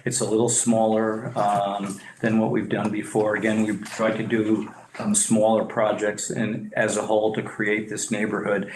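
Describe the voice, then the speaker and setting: A developer presenting to a public board meeting, halting with many fillers and restarts, clearing his throat as he loses his voice. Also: on the low side. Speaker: property developer. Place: meeting room. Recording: echoey room, faint noise.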